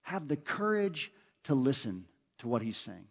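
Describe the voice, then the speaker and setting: An earnest man preaching from the pulpit, leaning on repetition as he urges his listeners on. His voice sits at an ordinary middle pitch, steady and sincere. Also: unhurried, gravelly, husky. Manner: earnest, urging, repeats phrases for emphasis. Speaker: pastor. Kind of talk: sermon.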